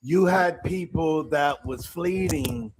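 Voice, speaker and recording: A man argues indignantly on a live panel debate. His voice is slightly high, and he speaks slowly and deliberately. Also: slight background noise, poor audio. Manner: indignant, argumentative.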